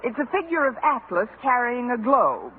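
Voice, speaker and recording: An actress playing a wry, sarcastic high school English teacher with deadpan wisecracks in a 1950s radio comedy. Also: female voice, normal speed. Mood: dry sarcasm. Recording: narrow, noisy 1950s radio recording.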